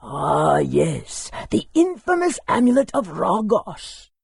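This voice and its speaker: A helpful fantasy scholar for a game. The voice is husky and crackly, swinging expressively through measured historical tales. It is recorded very dry. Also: male voice, sits high, wildly swooping pitch, dry recording, exaggerated voice. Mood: storytelling. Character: helpful scholarly lore-keeper in a fantasy city. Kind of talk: video game voice acting.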